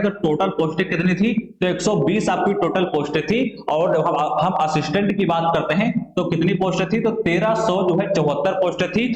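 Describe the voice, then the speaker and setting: High-pitched man teaching, echoey room. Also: darkish tone, dull audio. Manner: repeats phrases, asks and answers own questions. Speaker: exam coaching teacher. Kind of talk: explanatory exam-prep lecture addressed to students.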